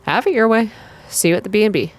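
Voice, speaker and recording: A woman's voice: mid-range voice, expressive, fast, voice pushed up, natural voice. A woman voicing a tired, impatient young female travel companion with a pointed, exasperated manner in a story reading.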